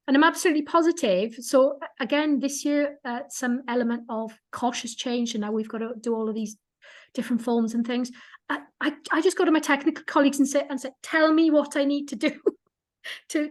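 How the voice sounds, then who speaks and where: A woman with a fairly high voice explains things in a webinar. She talks eagerly and restarts her sentences often, repeating words as she goes. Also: darkish tone. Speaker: guest speaker. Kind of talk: webinar talk.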